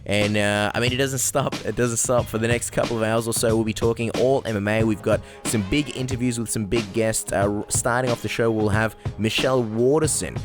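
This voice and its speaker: Low-voiced joking man, music under him. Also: steady tone, brisk, hardly any pauses. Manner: dry joking, repeats himself. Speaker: podcast co-host. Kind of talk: casual chat.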